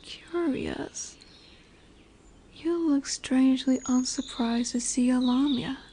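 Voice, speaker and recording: Woman voicing a slow, high-voiced seductive serpent woman. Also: mellow. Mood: imperious, curious. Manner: slow, hypnotic, coaxing, formal. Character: lamia, large seductive serpent woman, commanding yet soothing. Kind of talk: roleplay audio drama with soft whispered passages. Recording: noisy woodland ambience with birdsong. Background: birdsong and forest ambience.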